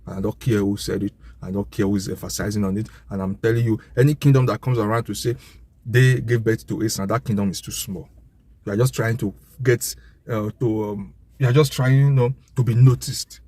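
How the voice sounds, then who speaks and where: A man's voice: on the low side, fairly even intonation, fairly quick, warm tone. A man with a Nigerian accent giving an impassioned, informal monologue, assertive and emphatic, often repeating his points.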